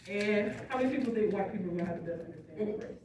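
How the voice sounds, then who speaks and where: A woman's voice: medium pitch, very fast, a little mellow. Woman lecturing in an echoey classroom. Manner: explanatory, often checks that students understand. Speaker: college instructor. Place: college classroom. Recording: echoey classroom, distant phone recording, background noise.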